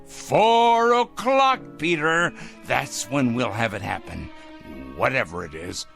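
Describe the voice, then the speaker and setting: A petulant, sanctimonious fanatic in a radio drama. He rants and mutters suspiciously, his pitch lurching up and down as he drags out words slowly. Music sits behind him. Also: animated, gravelly, fairly bright tone, full character voice. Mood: fanatical scheming. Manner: ranting, drawn-out emphasis, muttering to himself. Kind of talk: theatrical radio drama acting.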